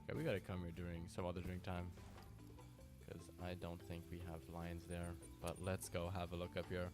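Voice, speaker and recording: Low-voiced man chatting over music. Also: a little fast. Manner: relaxed, chatty, talks to viewers by name. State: tired from little sleep. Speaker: game streamer. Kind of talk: casual live-stream chat. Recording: clear music bed behind voice. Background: music playing.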